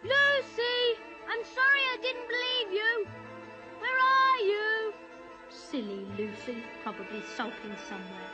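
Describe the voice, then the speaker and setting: A performer with a high childlike voice playing a teasing, sulky young schoolboy in an animated fantasy. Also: measured pace, highs cut off, over loud music, heavily acted. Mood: calling out, sulky. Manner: mocking, singsong, exclamatory. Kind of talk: animated fantasy film acting.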